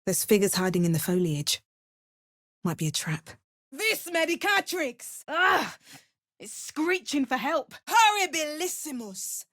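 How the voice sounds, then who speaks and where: A woman's voice: high-pitched, very animated, a little slow, fairly bright tone, no echo, clean studio sound, full character voice. A woman playing an alert, determined adventurer in a video game, giving short remarks and dramatic spell incantations. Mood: forceful, incanting. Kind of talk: video game character voice lines.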